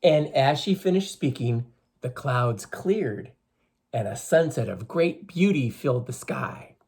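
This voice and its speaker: A storybook reader with a husky, middle-pitched voice addresses young children. The delivery is explanatory and expressive, like reading aloud to a classroom. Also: unhurried.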